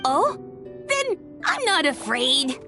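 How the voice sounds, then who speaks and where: A woman's voice: high voice, very animated, slow, over music, heavily acted. A woman voicing a small young dragon in a video game, earnest, innocent and eager. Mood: earnest, nervous excitement. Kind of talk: video game character acting.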